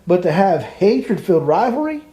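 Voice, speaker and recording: A man's voice: high voice, big pitch swings, brisk, dark tone, slight background noise. A man hosting a podcast, giving his opinion emphatically and repeating points as he rants. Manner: emphatic, repetitive, ranting. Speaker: podcast host and fishing guide.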